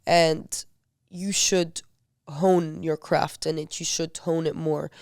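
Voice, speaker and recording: A woman's voice: sits low, level tone, slow pace, darkish tone, no room sound, studio quality. A young woman from Lebanon, a podcast guest, chatting casually with lots of "like" and acting out the people she quotes. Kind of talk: casual chat.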